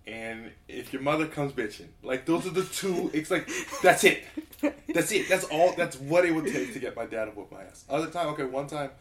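A young man talking as a podcast guest in casual chat, quiet-voiced, blunt and joking. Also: on the high side, lively intonation, normal speed, a little hiss or hum.

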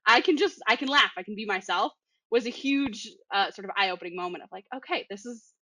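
A woman's voice: mid-range voice, animated, fairly quick, pushed and bright, no room sound. A young woman from the Boston area with a Boston accent, chatting casually and laughing often. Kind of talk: casual podcast chat.

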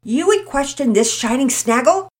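Brief: woman voicing a loud, high, bouncy boy, brash and joking, full of swagger, for a children's storybook. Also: expressive, moderate pace. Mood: exuberant. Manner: boisterous, brash.

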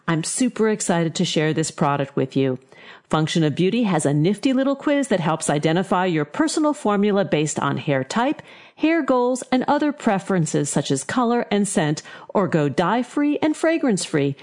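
A woman with a slightly low-pitched voice hosts a talk show. She addresses listeners directly in the style of a presenter. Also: darkish tone. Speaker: host. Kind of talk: talk show hosting and sponsor read.

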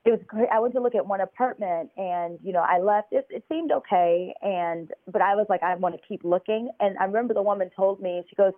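A woman's voice: medium pitch, brisk, hardly any pauses, dry recording. An American woman telling her story as an interview guest over a phone call, chatty and full of fillers. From United States. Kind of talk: conversational interview. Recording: tinny phone line.

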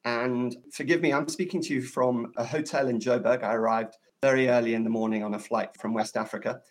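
A man's voice: mid-range voice, fairly even intonation, brisk. A man hosting a podcast interview, introducing his guest in an enthusiastic, effusive way, speaking from a hotel room. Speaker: podcast host.

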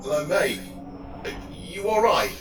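A man's voice: on the high side, very animated, noisy background. Distant, hesitant, worried young man. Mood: concerned, hesitant. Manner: hesitant, halting. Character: worried young male passer-by, awkward but determined. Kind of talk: radio drama dialogue. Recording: roomy, distant from mic, music behind.

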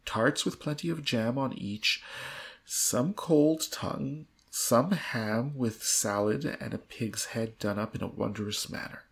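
A woman reads a storybook aloud with a husky, gravelly voice. She is a podcast host with an amused, theatrical delivery, slipping between narration and chatty asides. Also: a bass voice.

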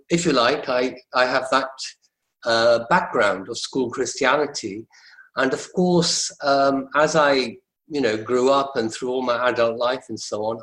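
An older man from England, speaking as an academic guest in a measured, hesitant way, pausing to find his words. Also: mid-range voice, fairly bright tone, reverberant, rough recording. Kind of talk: panel discussion on a video call.

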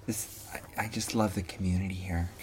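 A woman's voice: a bass voice, fairly even intonation, fairly quick, a little mellow, a little hiss or hum. A woman playing a timid, flustered school mother in an improvised radio play, hesitant and stammering. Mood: awkward, polite.

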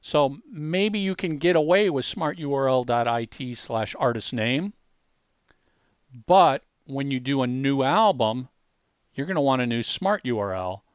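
A man hosting a casual software walkthrough, explaining informally and speaking straight to the listener. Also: medium pitch, lively intonation, a little slow, clean tone, no echo, studio quality. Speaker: host demonstrating software.

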